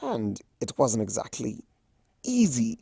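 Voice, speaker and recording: A performer, a man, voicing a flamboyant, teasing male chimera trickster from a cartoon fan story, with theatrical drawn-out emphasis. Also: mid-range voice, big pitch swings, gravelly, warm tone, breathy edge, dry recording, full character voice. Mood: mock offended.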